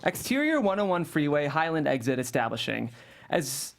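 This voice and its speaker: A man's voice: on the high side, sing-song, normal speed, vocal fry. A man hosting a script table read, introducing it and reading out stage directions. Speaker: host and writer of the script.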